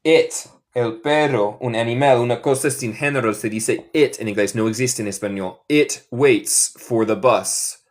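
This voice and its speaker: A young man with an American Midwestern accent teaches English to Spanish speakers in a live online class. He speaks Spanish as a second language and keeps a slow, patient pace, repeating each example sentence several times so learners can follow. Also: mid-range voice. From northern United States.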